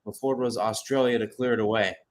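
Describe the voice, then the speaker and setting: A man's voice: on the low side, fairly even intonation, quick pace. A man giving live radio-style football commentary as a play-by-play caller, mixing match calls with chatty, conversational asides.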